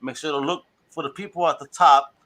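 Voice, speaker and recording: A man in his forties hosts a casual podcast chat, laughing easily between remarks. His voice sits a little high with a bright, forceful edge. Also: fairly quick. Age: 40s. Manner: animated, laughing, signs off with a Spanish phrase. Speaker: podcast host.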